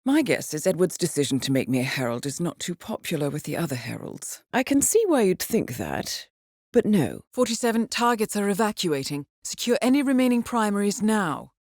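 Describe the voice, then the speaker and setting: Female mission handler, lowish voice, lively intonation, composed, formal, drily ironic, video game briefing. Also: a little fast, no room sound, studio quality. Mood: dry, wry. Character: composed, dryly witty female intelligence handler to an assassin.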